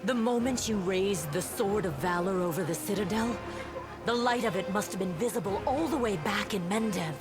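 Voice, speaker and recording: An actress playing an earnest, dutiful female paladin officer in a fantasy game, speaking in a formal, measured reporting manner. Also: mid-range voice, lightly acted. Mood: troubled, resolute. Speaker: game character reporting to a commander. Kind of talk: voice-acted fantasy game dialogue. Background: music.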